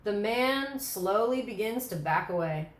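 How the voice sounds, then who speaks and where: A woman's voice: mid-range voice, lively intonation, unhurried. A woman reading scene directions as scripted narration at a screenplay table read. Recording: roomy echo.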